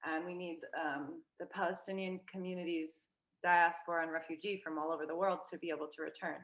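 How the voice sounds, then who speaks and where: Young woman, lowish voice, halting, filler-heavy, casual interview. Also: fairly even intonation, fairly bright tone, rough recording. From United States. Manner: hesitant, filler-heavy, many restarts. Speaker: guest.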